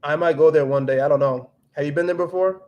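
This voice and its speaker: A man's voice: fairly high pitch, fast, a little mellow, muffled recording. A young man hosting a casual livestream, chatting loosely, with many restarts and fillers. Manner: rambling with frequent restarts and "like". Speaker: livestream host reading chat. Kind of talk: casual livestream chat, mixing English and Spanish.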